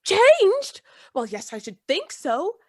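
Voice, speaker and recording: A woman's voice: very high-pitched, wildly swooping pitch, slow, a little mellow, no room sound, studio quality, full character voice. A woman playing a frightened, loyal and deferential old household servant in a Gothic radio play. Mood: indignant, alarmed. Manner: deferential, agitated, emphatic.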